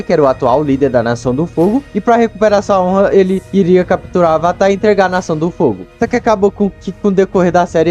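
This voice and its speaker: A man's voice: a little high, warm tone. A man with a Brazilian accent giving casual, slangy, conversational video commentary. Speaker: video creator.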